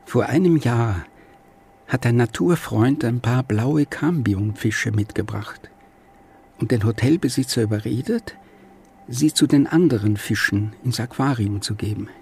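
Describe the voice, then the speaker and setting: An actor as a gentle storyteller, narrating literary prose in a German radio play. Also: male voice, mid-range voice, unhurried, creaky, slight background noise.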